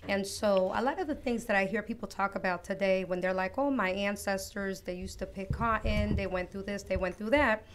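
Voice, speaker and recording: A woman from Costa Rica speaks as a guest on a talk show, earnest and reflective, taking her time. Her pitch sits in a middle range, and a faint hiss runs under the voice. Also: brisk, few pauses. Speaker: guest author. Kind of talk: personal testimony in an interview.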